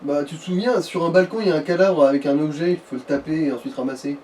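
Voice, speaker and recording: A man with a soft-edged, mellow voice, a little high guides a player through a game. He explains casually in colloquial phrases. He sounds slightly away from the mic. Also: quick pace. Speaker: co-commentator guiding the player. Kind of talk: casual gaming chat giving directions. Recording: slightly distant, noisy voice recording.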